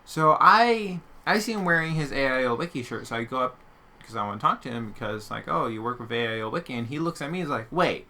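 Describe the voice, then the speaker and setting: A man's voice: mid-range voice, animated, fast, sharp tone. A man hosting a podcast in casual conversation, explaining his points emphatically. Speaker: podcast host. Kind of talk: casual chat.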